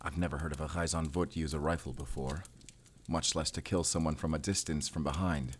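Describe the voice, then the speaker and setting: Deep-voiced stern werewolf hunter. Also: male voice, fairly even intonation, brisk, husky. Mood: questioning, guarded. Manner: terse, commanding, suspicious. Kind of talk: cinematic audio roleplay acting.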